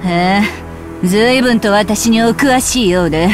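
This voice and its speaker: An actress voicing a brash, bitter rocker witch in a video game, with sardonic, rough-spoken, taunting delivery. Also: medium pitch, a little slow, close to an everyday voice. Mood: mocking. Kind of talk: Japanese video game voice acting. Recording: loud music under the voice. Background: loud music.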